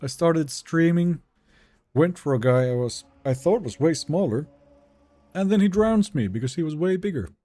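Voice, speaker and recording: Swedish male streamer, easygoing and chatty, animated pitch, mellow tone. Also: normal speed. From Sweden. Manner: relaxed, chatty, answers chat questions. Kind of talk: live gameplay stream, chatting with viewers.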